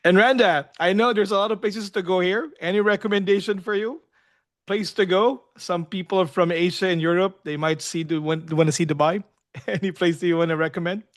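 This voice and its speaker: A man with a notably high-pitched voice moderates a live webinar, putting questions to the panel. He speaks in a friendly, hesitant manner with fillers and restarts. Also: fairly even intonation, a little fast.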